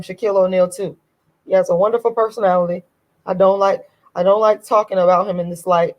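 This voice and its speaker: A woman with a hoarse, deep voice, talking bluntly and emphatically. She repeats her key points in a casual opinion monologue, and her voice sits fairly low. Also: darkish tone, rough recording. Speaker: YouTube commentator.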